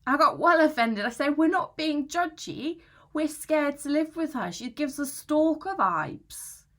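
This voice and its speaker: A woman's voice: high voice, expressive, unhurried, fairly bright tone. Chatty, anxious young British student played by a woman. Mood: exasperated, then upset, indignant. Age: young adult. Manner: chatty, slangy, self-justifying. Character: anxious young female student, aggrieved and defensive about housemate. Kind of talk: dramatic confessional monologue, conversational storytelling.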